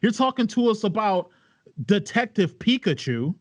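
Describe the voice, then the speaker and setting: A man's voice: high-pitched, big pitch swings, normal speed, dry recording. A man, a podcast panelist who banters casually in an argumentative, exasperated way.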